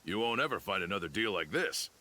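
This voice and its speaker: A man's voice: lowish voice, expressive, quick pace, sharp tone. An actor playing a money-minded, pushy huckster in a video game, with a wheedling salesman's manner. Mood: impatient, coaxing.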